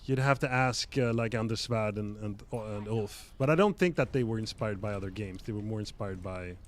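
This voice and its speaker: A man chatting on a livestream as a game developer guest, casual and hedging, often halting mid-sentence. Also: lowish voice, a little hiss or hum.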